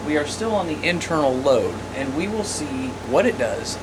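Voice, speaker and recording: A man's voice: fairly high pitch, expressive, normal speed, background noise. An American man giving a casual, plain-spoken hands-on gear demonstration as an informal presenter. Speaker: radio hobbyist presenter.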